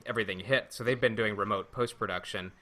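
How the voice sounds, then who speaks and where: A man's voice: lowish voice, steady tone, fast talker, crowd noise. A man co-hosting a podcast, talking casually in an informal group discussion. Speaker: podcast host.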